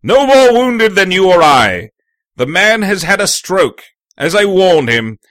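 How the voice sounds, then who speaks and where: A man voicing a no-nonsense country doctor in an audiobook, crisp, scolding and authoritative. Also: high voice, big pitch swings, measured pace, a bit bright, no room sound, pitched above the performer's own voice, heavily acted. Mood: brisk, scolding. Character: brisk, commanding, no-nonsense male country doctor. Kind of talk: audiobook character voice.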